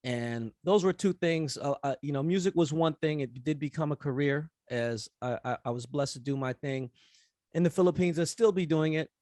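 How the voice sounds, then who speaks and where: A man's voice: a little high, moderate pace, no room sound. Laid-back New man with a dry close sound. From United States. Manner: relaxed, rambling, many fillers and restarts. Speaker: rapper and event host speaking at a forum. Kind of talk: casual conference talk after a rap performance.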